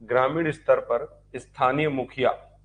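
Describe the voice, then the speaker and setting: A man's voice: mid-range voice, fairly even intonation, unhurried, rough recording. A man teaching an online history class conversationally, repeating key points and posing rhetorical questions as he goes. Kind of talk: live online exam-prep lecture.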